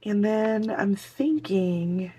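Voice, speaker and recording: A woman with a hoarse voice from a lingering cold, chatting casually to viewers as she decorates a planner. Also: medium pitch, drawn-out words, mellow. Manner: chatty, talks to viewers while working. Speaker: planner vlogger. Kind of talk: casual crafting chat.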